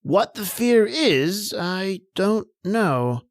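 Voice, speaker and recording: An actor playing a grave, kindly family doctor in an old-time radio drama, speaking in a measured, concerned way. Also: mid-range voice, sing-song, dry recording, studio quality, full character voice. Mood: troubled, concerned.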